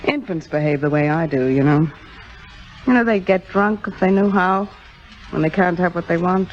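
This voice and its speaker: A muffled old radio recording carries a woman acting a middle-aged Broadway diva with a low voice. She is proud yet insecure, temperamental and worldly, speaking in halting, theatrical, self-mocking phrases in 1950s radio drama style. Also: lightly acted. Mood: rueful, self-mocking.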